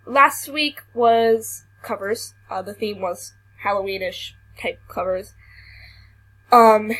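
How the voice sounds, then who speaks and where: A woman's voice: on the high side, a bit bright, low-quality recording. A young woman who is sick and losing her voice, reading a creepy story aloud with some stumbles, then chatting casually to her viewers. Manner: halting reading that stumbles and restarts words. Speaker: YouTuber.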